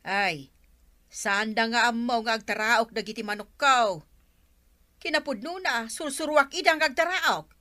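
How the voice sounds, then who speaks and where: A woman's voice: on the high side, unhurried, bright, forceful tone. A woman with an Ilocano Filipino accent playing a questioning, argumentative village neighbour in a comedy skit. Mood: exasperated. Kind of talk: amateur comedy skit acting.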